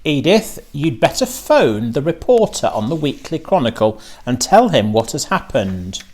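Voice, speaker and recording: Man acting a proud, commanding farmer, animated pitch. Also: moderate pace. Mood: joyful admiration. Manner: emphatic, declaratory, giving orders. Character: proud, commanding male farmer, awed by his pig.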